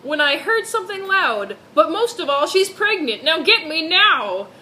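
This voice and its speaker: A woman's voice: very high-pitched, big pitch swings, normal speed, pushed and bright, a little hiss or hum, poor audio. A woman doing a mock-serious dramatic reading of fan fiction, dropping in amused asides.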